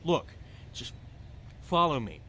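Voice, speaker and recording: A man's voice: on the high side, big pitch swings, slow, pushed and bright, slight background noise, exaggerated voice. A man playing an angry, suspicious best friend in an audio drama, curt and confrontational. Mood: curt, tense.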